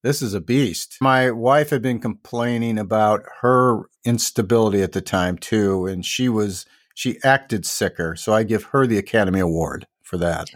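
A man co-hosting a podcast, telling stories with dry, joking humor, his voice deepened by a cold. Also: moderate pace, few pauses, dry recording. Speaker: podcast co-host. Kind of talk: casual podcast chat.

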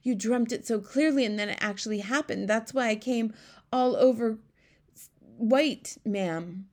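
A woman's voice: fairly high pitch, unhurried, darkish tone, voice pushed up, lightly acted. Lady doing a flustered middle-aged palace maid in a storybook, very deferential, kinda stammery. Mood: anxious, insistent. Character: middle-aged female royal maid, deferential, anxious, fussing. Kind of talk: storybook character voice.

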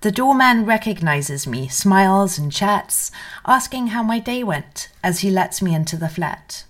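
A woman's voice: mid-range voice, animated, a little slow. A woman narrating a first-person memoir as a scripted literary reading. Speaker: reader of memoir excerpts.

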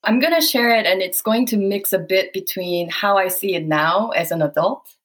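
A woman's voice: medium pitch, normal speed. A woman from South Korea with a Korean accent, whose first language is Korean, answering interview questions in an earnest, reflective way and laughing often as she recalls things. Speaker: guest.

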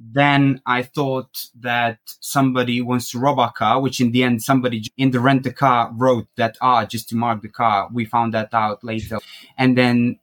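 A man's voice: medium pitch, moderate pace. Croatian-born man telling a travel story. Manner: animated, laughs at himself, acts out quotes. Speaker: podcast guest, consultant. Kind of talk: casual storytelling.

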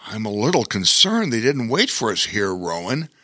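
A man voicing a calm, patient bear king in a children's storybook, in a measured, thoughtful manner. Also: on the low side, no room sound, studio quality, heavily acted. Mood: concerned.

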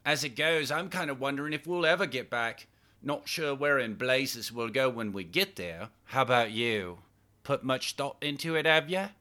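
A man's voice: mid-range voice, expressive, moderate pace, pushed and bright, pitched above the performer's own voice. A man voicing a teasing, affectionate young woman with an East London accent and slangy, blunt manner in an audiobook. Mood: probing, casual. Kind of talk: audiobook character dialogue.

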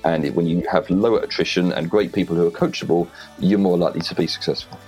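A Welsh man with a very low voice talks plainly and with confidence as a podcast guest. He gives firm, practical advice, and faint music sits behind him. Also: steady tone, quick pace, darkish tone, low-quality recording. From Wales. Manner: direct, confident, plain-spoken. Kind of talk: interview advice. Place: home in rural Wales.

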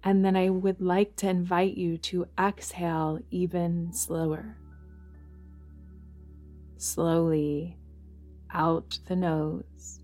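A woman leading a guided yoga nidra meditation in a gentle, soothing manner, leaving long pauses between phrases. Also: fairly low pitch, level tone. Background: background music.

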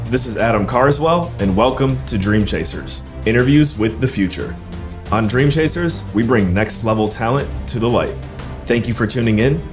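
A man's voice: mid-range voice, expressive, normal speed, music in the background. A man hosting a podcast, enthusiastic and friendly as he introduces the show and asks questions. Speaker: podcast host.

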